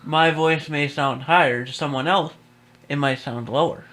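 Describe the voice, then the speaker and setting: Bright young man asking questions on air. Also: on the high side, normal speed. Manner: asks probing rhetorical questions. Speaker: radio show host. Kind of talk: casual on-air chat.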